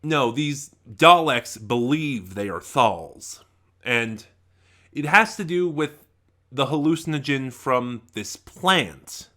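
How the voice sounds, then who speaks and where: Bright-voiced man, halting and rambling. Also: medium pitch, expressive. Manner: halting, rambling, trailing off mid-thought. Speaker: YouTube reviewer. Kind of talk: unscripted audio drama review, casual talk to viewers.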